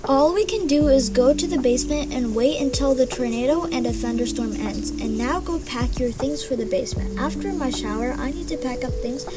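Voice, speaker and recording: A woman's voice: sits high, a little fast, hardly any pauses, lightly acted. A woman voicing a forgetful, easily scared girl hosting a sleepover in an amateur role-play story, with slangy, dramatic delivery. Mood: apologetic, urgent. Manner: slangy, dramatic girly talk. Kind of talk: amateur toy-app role-play voice acting. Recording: loud music under the voice.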